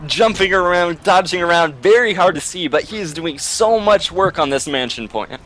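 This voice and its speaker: A man's voice: sits high, expressive, normal speed, a bit bright, slight background noise, poor audio. Upbeat man co-casting with a chuckle. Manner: upbeat, laughs often, keeps saying "absolutely". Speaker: esports co-caster and interviewer. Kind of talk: casual chat and color commentary.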